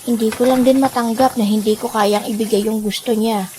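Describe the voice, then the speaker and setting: A woman's voice: on the high side, fairly even intonation, fairly quick, mellow, slight background noise, natural voice, rough recording. A woman voicing a kind, loving old grandmother in a radio drama, sighing and worried. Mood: worried, weary. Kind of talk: dramatic radio play acting. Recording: music behind the voice.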